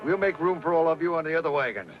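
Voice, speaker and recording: Man playing a higher-voiced, practical wagon boss. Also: lively intonation, very fast, lightly acted. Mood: brisk. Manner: decisive, rustic. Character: brisk, practical male wagon boss of the frontier. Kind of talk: western radio drama acting. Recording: dull lo-fi radio recording, faint noise.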